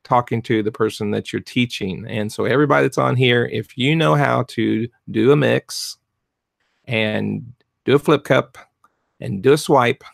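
A middle-aged man, soft-spoken and rambling with many fillers, coaching art teachers in a casual live stream from his home studio on a desk mic. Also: medium pitch, normal speed, vocal fry, no room sound. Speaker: fluid art business coach. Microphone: desk mic in front of webcam.

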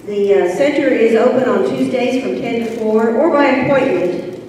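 A woman's voice: on the high side, moderate pace, a little mellow, a little hiss or hum. An older woman giving casual announcements at a local event, chatty and trailing off with "and that". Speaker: event organizer making announcements. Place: large school auditorium. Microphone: handheld mic, recorded from a far camera. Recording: echoey hall from far off.

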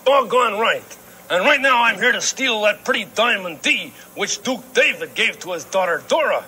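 A man's voice: high voice, expressive, moderate pace, pushed and bright, a little hiss or hum, heavily acted, rough recording. A man voicing a nasty, blustering dragon in a children's puppet storybook skit, with a theatrical villainous delivery. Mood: scornful, indignant. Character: dangerous, nasty male dragon, blustering and sneaky.

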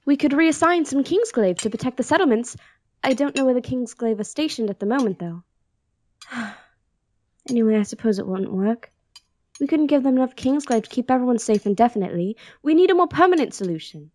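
A woman's voice: a little high, animated, brisk, darkish tone, natural voice. A woman playing an earnest, thoughtful young princess in an audio drama, polite and articulate. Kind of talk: audio drama acting and first-person narration.

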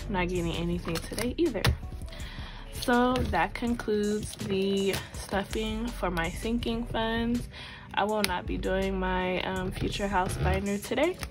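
A woman talks slowly and unhurriedly, her sore throat keeping her voice low. She counts money aloud in a chatty, casual way. Clear music plays behind her. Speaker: budgeting channel host. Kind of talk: casual voice-over while counting cash. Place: tabletop at home. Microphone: overhead camera, hands only.

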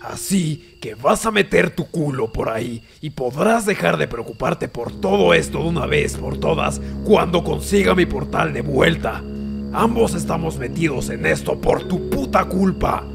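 Man as gravelly, scornful cartoon villain. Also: fairly high pitch, expressive, measured pace. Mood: scornful, irritated. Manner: scornful, grumbling. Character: foul-mouthed, scornful, bossy male cartoon villain. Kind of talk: animated fan film voice acting. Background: loud music.

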